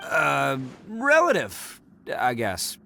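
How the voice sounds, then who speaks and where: A man's voice: fairly high pitch, wildly swooping pitch, extremely slow, gravelly, bright, forceful tone, a little hiss or hum, heavily acted. A man playing a cocky, good-hearted young brawler in a video game, talking with blunt swagger. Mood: confident, reassuring. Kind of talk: animated video game voice acting.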